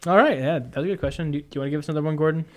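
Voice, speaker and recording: A man's voice: on the high side, very fast. A man hosting a casual call-in quiz podcast, chatting informally. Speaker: podcast host taking call-ins.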